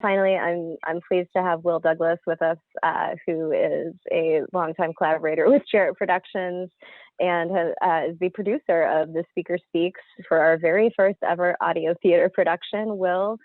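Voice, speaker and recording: Lady host, kinda low voice, gushing intros, close dry sound on a thin phone-like call. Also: fairly even intonation, moderate pace, dry recording. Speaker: host, marketing director of a theater company. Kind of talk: hosting a panel introduction. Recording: narrow phone-like video call audio.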